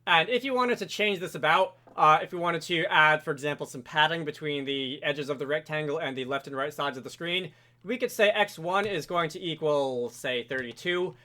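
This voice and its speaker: Male tutorial presenter, slightly higher-pitched and bright, informal and explanatory, talking viewers through code. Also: moderate pace. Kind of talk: casual screen-recorded coding tutorial.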